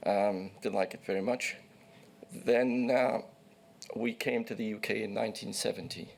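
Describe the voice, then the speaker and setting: A man in his sixties, originally from Egypt, giving evidence as a witness at a formal hearing and recounting his career in a measured way, with small restarts. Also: fairly low pitch, fairly even intonation, creaky. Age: 60s. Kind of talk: formal testimony.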